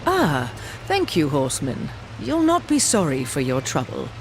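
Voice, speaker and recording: A woman's voice: deep voice, wildly swooping pitch, measured pace, full character voice. A woman acting a cryptic old mystic in a video game, with noisy ambience behind her. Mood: cryptic, grateful.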